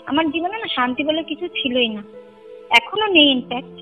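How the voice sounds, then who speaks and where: High-pitched young Kolkata woman on the phone. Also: animated, moderate pace. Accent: Kolkata Bengali. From Kolkata, India. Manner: emphatic, repeats points and checks the listener follows. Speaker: caller recounting a personal experience. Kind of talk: conversational personal storytelling. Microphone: phone call. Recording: tinny phone line with music underneath. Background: clear music bed.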